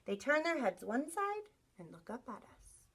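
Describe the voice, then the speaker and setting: Bright, animated woman reading to children. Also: on the high side, normal speed, breathy edge. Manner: animated, acts out sound effects, asks listeners questions. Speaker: teacher reading a picture book aloud to young children. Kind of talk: expressive read-aloud storytelling.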